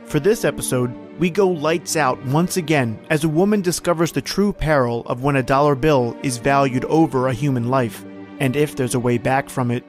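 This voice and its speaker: A man's voice: mid-range voice, moderate pace, faint music behind. A man hosting a podcast, delivering a scripted, dramatic introduction. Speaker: podcast host.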